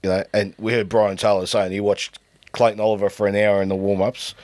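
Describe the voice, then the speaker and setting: A man's voice: fairly low pitch, brisk, no room sound. Stuffy-nosed man co-hosting. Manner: rambling, relaxed. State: stuffy nose from a cold. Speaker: podcast co-host. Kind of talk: casual sports chat.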